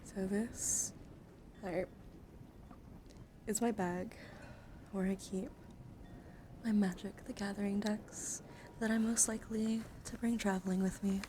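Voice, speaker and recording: A woman streamer chatting in a soft-spoken ASMR style, hushed and often slipping into a whisper, close to the microphone. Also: medium pitch, steady tone, measured pace, long pauses, background noise. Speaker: livestreamer.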